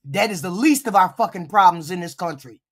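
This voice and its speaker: A streamer giving blunt, profane live reaction commentary, with a voice deepened by a cold and short of breath. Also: lively intonation, brisk, fairly bright tone.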